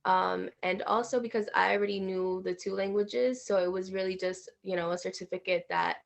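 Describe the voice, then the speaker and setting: A woman's voice: medium pitch, fairly even intonation, moderate pace, vocal fry. A young woman from the Dominican Republic, a native Spanish speaker, talking casually in an interview as a college student. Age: young adult.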